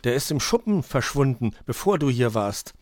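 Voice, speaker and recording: A man's voice: medium pitch, very animated, normal speed, no room sound, clean studio sound, voice pushed up, lightly acted. A man voicing a whiny, pompous young animal boy in a children's story. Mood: eager. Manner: pompous, sulky, loud.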